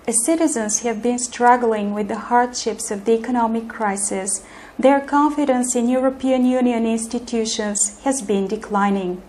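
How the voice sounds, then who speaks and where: A woman giving scripted narration in a measured, formal tone. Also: on the high side, fairly even intonation, darkish tone, slight background noise.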